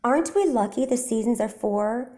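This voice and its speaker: A woman voicing a calm, dreamy male field mouse poet in a children's storybook, speaking simply and deliberately. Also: a little high, lightly acted. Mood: theatrical, reciting. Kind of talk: children's storybook read-aloud.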